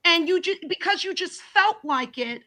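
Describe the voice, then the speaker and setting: A woman caller arguing loudly and insistently in a live chat, often restarting and repeating her words. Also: very high voice, lively intonation, moderate pace. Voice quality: loud projecting voice. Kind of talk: heated debate on a live chat.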